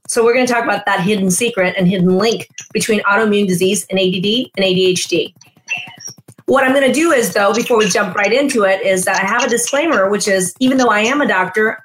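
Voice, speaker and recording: A woman physician hosting a health show, energetic and talkative, often restarting her sentences. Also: medium pitch, slight background noise, poor audio. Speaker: podcast host and physician.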